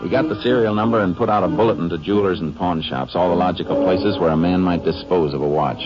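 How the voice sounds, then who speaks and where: A man's voice: deep voice, fast talker, loud music behind the voice, lightly acted. An American man playing a terse, shrewd Texas Ranger in a matter-of-fact 1950s radio drama style. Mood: measured narration. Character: steady, shrewd Texas Ranger investigator, also narrating. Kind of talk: 1950s radio drama acting, dry procedural delivery. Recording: muffled, noisy old-time radio recording.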